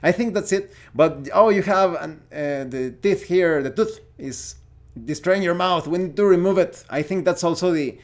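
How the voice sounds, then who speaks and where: A man's voice: sits high, normal speed. A man, a Spanish speaker, giving an unscripted opinion monologue as host of a gaming channel, often searching for words. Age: 40s.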